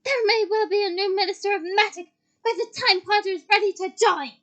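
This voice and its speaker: Lady doing a strict high voiced teacher from a storybook, firm, emphatic, won't back down. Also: moderate pace. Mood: defiant, loud. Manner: stern, emphatic, ringing.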